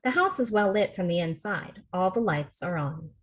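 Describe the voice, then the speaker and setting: Lower-voiced woman narrating a script. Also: animated, normal speed. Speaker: narrator reading stage directions. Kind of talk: scripted narration at table read. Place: remote video call rehearsal.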